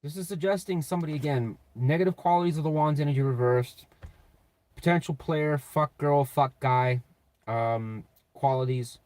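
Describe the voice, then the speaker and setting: Guy doing tarot readings off the cuff, kinda high voice, bit raspy, swears a lot, super blunt. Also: moderate pace, a little mellow, dry recording. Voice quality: slightly raspy. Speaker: tarot reader and channel host.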